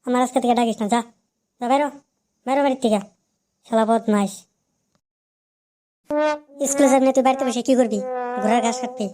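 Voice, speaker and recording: A woman's voice: fairly high pitch, steady tone, brisk, long pauses, warm tone, natural voice. A woman voicing a strict, fed-up mother in a comic cartoon, scolding bluntly in an eastern Bengali accent. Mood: angry.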